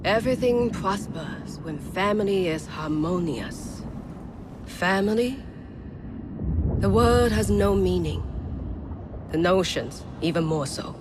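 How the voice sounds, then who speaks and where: An actress playing a cold, solitary, philosophical villain in a video game, speaking deliberately with emphatic pauses. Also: female voice, medium pitch, animated. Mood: cold, bitter. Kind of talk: slow dramatic monologue in a video game cutscene. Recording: clear background ambient noise.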